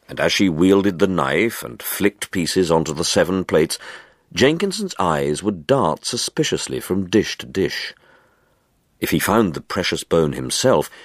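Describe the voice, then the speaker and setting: A man narrating a dark literary short story in a measured, expressive storytelling manner. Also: sits low, no echo, clean studio sound. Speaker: audiobook narrator.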